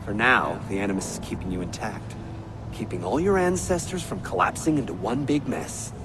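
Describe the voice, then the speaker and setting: A man's voice: lowish voice, expressive, moderate pace, music in the background, lightly acted. An actor playing an unstable, taunting man trapped as a ghost inside a machine, with a theatrical, sardonic, erratic delivery for a video game. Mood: sardonic, urgent.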